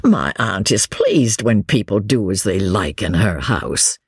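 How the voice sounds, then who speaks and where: A narrator voicing a courtly, mild English gentleman who is bland, polite and slyly encouraging to his cousin. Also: sits low, big pitch swings, measured pace, husky, no room sound, studio quality, pitched below the performer's own voice, heavily acted. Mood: genial, expansive.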